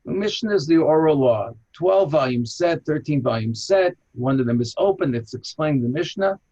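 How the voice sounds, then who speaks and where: An American man from Chicago, a rabbi and archaeologist, answering interview questions with animated, anecdotal storytelling. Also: normal speed. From Chicago, USA. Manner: animated anecdotal storyteller, lively jumps in pitch. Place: home in an old city, remote call. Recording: muffled, husky lo-fi line.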